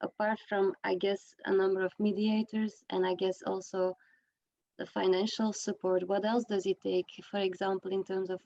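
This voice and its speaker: A woman's voice: medium pitch, little pitch movement, moderate pace, creaky, dark tone. The sound is dull and lo-fi. A woman from Belgium with a mid-range voice speaks up from the audience, phrasing her question conversationally and thinking it through aloud. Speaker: audience member asking a question.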